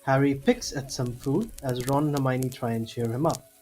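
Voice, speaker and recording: A man's voice: medium pitch, normal speed, darkish tone. A man reading scripted narration for an audio drama. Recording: slightly noisy home mic.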